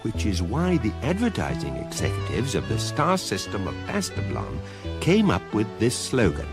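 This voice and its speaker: A man playing the calm narrator of a galactic guidebook in a radio comedy, measured, precise and deadpan. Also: on the low side. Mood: dry, matter-of-fact. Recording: loud music bed under the voice. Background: music with plucked strings.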